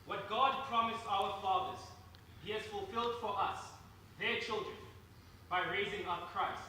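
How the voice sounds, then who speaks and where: A man's voice: high voice, fairly even intonation, a little slow, pushed and bright. A man giving a scripted reading of scripture as a speech. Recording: very echoey, distant, faint noise.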